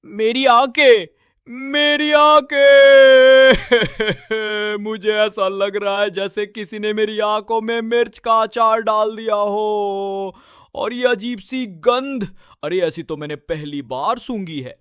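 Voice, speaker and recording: बहुत ऊँची आवाज़ वाला रोंदू बंदर. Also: male voice, sits high, fairly even intonation, slow pace, fairly bright tone, clear, resonant tone, dry recording, clean studio sound. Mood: distressed. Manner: wailing, melodramatic complaining. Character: whining, bewildered monkey henchman. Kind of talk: Hindi cartoon story acting.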